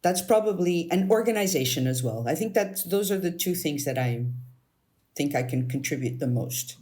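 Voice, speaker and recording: Soft-spoken woman, candidate interview. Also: sits low, expressive, moderate pace. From Canada. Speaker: interview guest, board candidate. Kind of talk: video interview answers.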